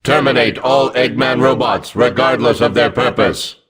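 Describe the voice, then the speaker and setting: A man voicing a hulking combat robot in a video game, gruff and mechanical, giving clipped, vengeful commands. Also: mid-range voice, moderate pace, a bit bright. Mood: cold, commanding.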